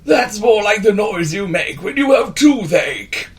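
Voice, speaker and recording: A man voicing a large, fat, excitable male wizard who talks in a wheezy way, bossy and cheerful. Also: high-pitched, measured pace, voice pushed up. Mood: exclaiming.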